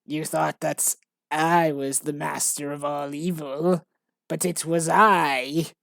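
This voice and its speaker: A woman voicing an evil old male space emperor with a raspy, slow, drawn-out delivery. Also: low voice, steady tone, a bit bright, dry recording, studio quality, full character voice. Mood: gloating.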